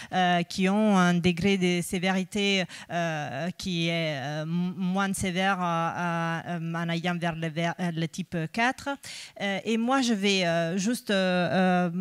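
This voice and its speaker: A middle-aged woman speaks with an Italian accent as she presents research at a conference. She pauses often and restarts her sentences. Her voice is smooth and resonant, and the sound is dry and close. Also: lowish voice, dry recording. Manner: hesitant, many fillers and restarts. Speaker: researcher giving a conference talk.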